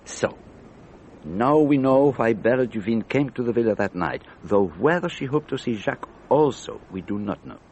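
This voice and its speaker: An actor playing an elderly detective in a radio drama, speaking in a precise, deliberate, patiently instructive way. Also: male voice, mid-range voice, expressive, a little mellow, slight background noise, close to an everyday voice, rough recording. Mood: explaining. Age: older. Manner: precise, deliberate, didactic questioning. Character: elderly, methodical, patient Belgian male detective.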